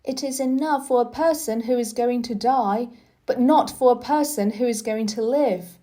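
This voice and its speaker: Woman reading as a frank young girl, higher-pitched. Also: moderate pace, dark tone, natural voice. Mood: teasing. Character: bright, frank young girl, bossy companion.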